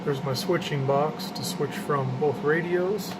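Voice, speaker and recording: Guy over really loud fan noise, casually showing off his gear, slow laid-back pace, plain slangy talk. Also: a little high. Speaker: hobbyist showing his radio setup. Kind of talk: casual unscripted walkthrough. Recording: loud hum of fans and room noise.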